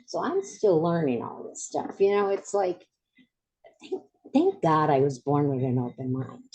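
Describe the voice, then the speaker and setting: A woman in her seventies hosts a podcast interview. Her voice sits low and sounds soft and dark. She talks in a chatty way and laughs easily at herself. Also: expressive, a little slow, rough recording. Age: 70s. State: recovering from voice loss. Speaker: podcast host.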